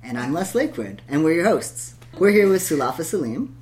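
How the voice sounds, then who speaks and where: A woman's voice: low voice, very animated, a little fast, a little mellow. A woman co-hosting a casual podcast chat, leading the talk and laughing easily, with a faint hum behind her. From Canada. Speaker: podcast co-host.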